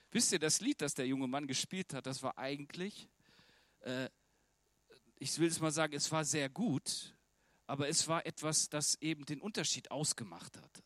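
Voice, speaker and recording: A man's voice: a little high, a bit bright, no echo, studio quality. A German man preaching a sermon in an earnest, conversational way, often turning to ask the listeners direct questions.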